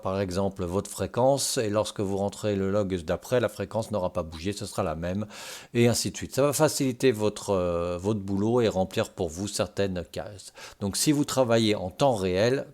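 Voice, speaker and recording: Low-voiced man giving a software tutorial. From Brittany, France. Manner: explanatory, checks in with short tags. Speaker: tutorial presenter. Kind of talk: informal step-by-step software tutorial.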